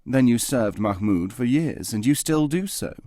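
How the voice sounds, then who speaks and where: A man's voice: mid-range voice, lively intonation, normal speed, warm tone, no echo, studio quality, natural voice. A man voicing a puzzled, formal courtier with a questioning manner in a storybook reading. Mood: puzzled, earnest.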